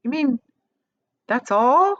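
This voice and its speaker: A woman's voice: high-pitched, sing-song, takes it slowly, no echo, muffled recording, pitched above the performer's own voice, full character voice. Woman as a blunt, argumentative young boy. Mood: disgusted, protesting. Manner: blunt, protesting. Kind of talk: children's storybook read aloud.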